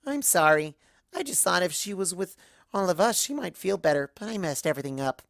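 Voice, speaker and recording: A woman's voice: on the low side, expressive, fairly quick, vocal fry, no echo, clean studio sound. A woman voicing a bubbly, scatterbrained young cartoon pony who rambles earnestly like a child. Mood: upset, guilty. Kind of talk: first-person fan fiction narration in character.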